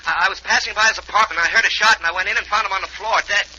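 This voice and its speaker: An actor playing an agitated passerby urgently phoning the police in an old-time radio drama. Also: male voice, sits high, fairly even intonation, very fast, sharp tone, heavily acted, poor audio. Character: agitated male passerby urgently reporting a murder.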